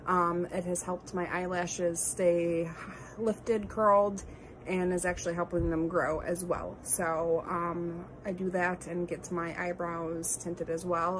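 A woman in her thirties with a lower voice talks through her makeup routine, a little nasal and congested, full of ums and small apologies. She speaks close to a phone in her bedroom. A steady hiss sits under the recording. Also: poor audio. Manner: chatty, rambling, many ums, apologetic asides. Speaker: beauty vlogger. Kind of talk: casual makeup tutorial. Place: bedroom at home, indoors. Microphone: phone camera held close.

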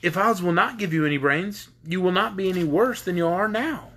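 A man's voice: high-pitched, brisk, a bit bright, pitched above the performer's own voice. A man voicing a polite, curious young girl in a storybook reading, close to his own voice.

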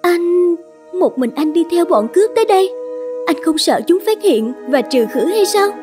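A woman voicing a gentle, wary young noblewoman held captive in a fairy-tale cartoon, polite and anxious. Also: extremely high pitch, sing-song, normal speed, over music.

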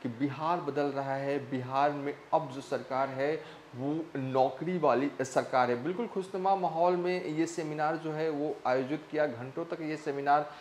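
A young man presenting a news report to camera with a lapel mic in a small studio, pausing often on "uh" fillers. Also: fairly high pitch, fairly even intonation, a little hiss or hum. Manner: halting, many "uh" fillers. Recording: roomy echo.

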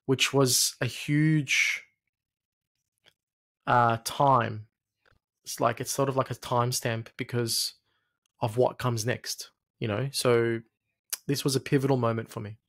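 Gravelly man telling his story haltingly. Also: mid-range voice, fairly even intonation, a bit bright. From Egypt and Australia. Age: late 30s. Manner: reflective, halting with repeated phrases. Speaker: podcast guest. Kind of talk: personal storytelling in conversation. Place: small home studio, indoors. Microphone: close podcast mic on arm.

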